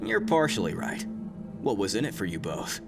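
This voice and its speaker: Young police detective, blunt and probing, pitch moves around a lot, music behind. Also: male voice, very animated, normal speed. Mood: pressing, measured. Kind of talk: audio drama dialogue.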